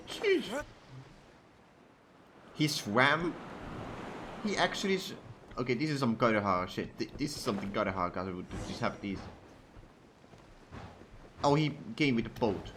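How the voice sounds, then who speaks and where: A man's voice: medium pitch, sing-song, measured pace, long pauses, background noise. A man streaming a story game, giving casual live reactions in muttered, sweary asides.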